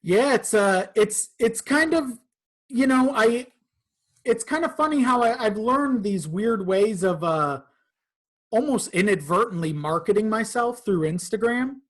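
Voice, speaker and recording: A man's voice: sits high, lively intonation, a little slow. Casual, profane man chatting on a podcast. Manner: informal, profane. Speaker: podcast guest. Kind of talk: casual conversational interview.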